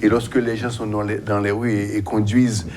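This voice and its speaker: Man, halting and explanatory. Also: lowish voice, little pitch movement, noisy background. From United States. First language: English. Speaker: guest commentator. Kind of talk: explanatory talk show interview.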